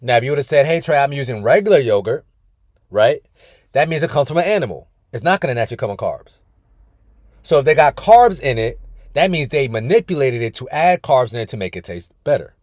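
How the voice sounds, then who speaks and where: A man's voice: mid-range voice, big pitch swings, a little fast, darkish tone, dry recording, studio quality. A man coaching a weight-loss group on a video call from his car, talking casually and often calling the group "y'all". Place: inside his parked car.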